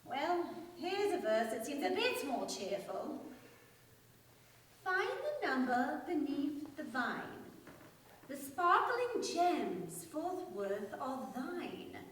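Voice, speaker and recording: An echoey, distant hall carries a woman acting a very high-voiced young heiress in an old-manor radio play. She is excitable and melodramatic, swooping widely from breath to breath. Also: slow, full character voice. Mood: curious, wondering. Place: staged live radio play in a reverberant room. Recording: echoey hall, picked up from afar.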